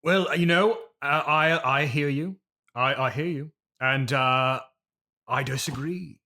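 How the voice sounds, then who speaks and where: A man's voice: medium pitch, slow, pushed and bright. A man playing a pompous, aggrieved classically trained stage actor with a theatrical, declaiming manner in a comedy interview. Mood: earnest, self-important.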